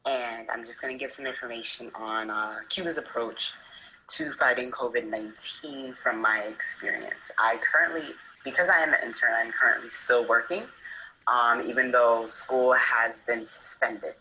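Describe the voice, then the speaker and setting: Very bright-voiced medical student from New Jersey. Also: female voice, rumbling low, steady tone, normal speed, slight background noise, low-quality recording. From New Jersey, USA. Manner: explanatory, methodical, frequent fillers. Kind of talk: prepared talk from experience.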